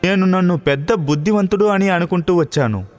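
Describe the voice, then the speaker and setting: A man's voice: high voice, brisk, darkish tone, close to an everyday voice. An actor playing a proud, commanding king in a children's cartoon fairy tale, speaking with authority. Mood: stern. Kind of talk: children's cartoon story dialogue. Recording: long hall reverb, background music.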